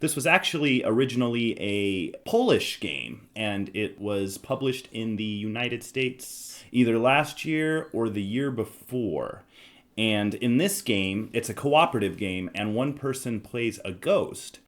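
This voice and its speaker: Low-voiced man hosting a casual podcast. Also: animated, measured pace. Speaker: podcast host. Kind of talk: casual board game discussion.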